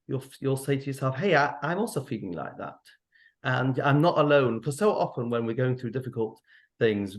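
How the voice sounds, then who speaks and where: A man with a Mancunian accent, hosting and speaking gently as a therapist, with a soft, halting delivery and many pauses. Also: mid-range voice. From Manchester, England. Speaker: host and therapist. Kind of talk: gentle supportive talk to teens.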